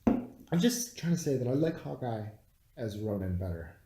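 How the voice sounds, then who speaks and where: A man's voice: mid-range voice, very animated, normal speed, mellow. A man chatting casually and bluntly about a film, swearing freely, with a few beers in him. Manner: blunt, dismissive, teasing. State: has been drinking beer. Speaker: podcast co-host reviewing a film. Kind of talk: casual, profane chat. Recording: roomy, echoey home recording.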